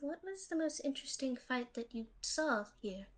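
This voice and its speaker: A woman voices a young boy god in a fantasy audio drama, an obedient little brother. The voice is high and soft, timid and full of hesitant stammering. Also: lively intonation, moderate pace. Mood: curious. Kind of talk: fantasy audio roleplay acting.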